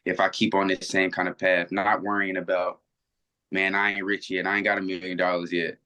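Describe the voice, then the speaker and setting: Low-voiced earnest young man, rambling. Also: little pitch movement, brisk, pushed and bright. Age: 20s. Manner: earnest, rambling with many restarts. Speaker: interview guest. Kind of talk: reflective casual conversation. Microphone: video call.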